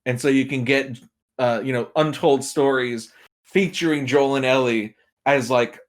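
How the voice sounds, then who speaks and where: A man's voice: mid-range voice, steady tone, a little slow. A man chatting casually and giving emphatic opinions as a podcast guest, repeating points for effect. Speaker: podcast guest, film and game pundit.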